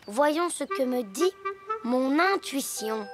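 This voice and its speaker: An actor voicing a young boy hippo in a children's cartoon, with an earnest, childlike and deliberate way of talking. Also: sits high, expressive. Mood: earnest, focused. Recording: music bed behind the voice.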